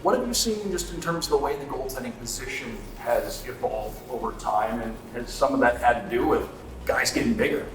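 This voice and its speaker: A male sports interviewer speaks in an echoey, reverberant space, a little away from the mic. His pitch is average and he lays out long, measured questions in a conversational way. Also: far from the mic, a little hiss or hum. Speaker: interviewer, sports radio play-by-play host.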